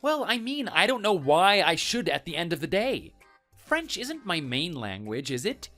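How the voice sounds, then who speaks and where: A man's voice: high-pitched, big pitch swings, moderate pace, bright, forceful tone. A man narrating a first-person story and arguing back in an indignant, defensive tone, with faint music behind.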